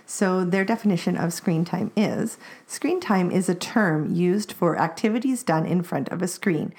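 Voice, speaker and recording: A woman in her forties co-hosting a parenting podcast, reading out guidelines and research in a steady, informative way. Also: fairly low pitch. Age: 40s. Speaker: podcast co-host.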